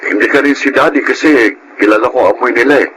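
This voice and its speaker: A man's voice: mid-range voice, fast talker, fairly bright tone, lightly acted. Guy as a curious big brother, normal mid voice, chatty radio drama acting, noisy background. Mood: curious, friendly. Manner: questioning, conversational. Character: gentle, curious older brother in family. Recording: clear background noise under voice.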